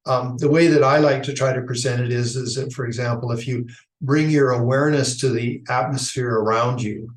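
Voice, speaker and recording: A man's voice: mid-range voice, steady tone. Reflective man in an echoey room. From Idaho, United States. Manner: thoughtful, halting, with frequent restarts and fillers. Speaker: Buddhist teacher. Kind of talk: unscripted dharma talk.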